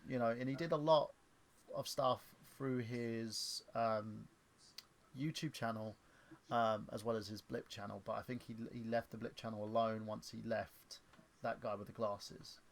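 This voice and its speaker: A man in his thirties hosting a livestream, chatting casually in a halting way with lots of fillers and restarts. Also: medium pitch, fairly even intonation, creaky. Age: 30s. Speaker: stream host.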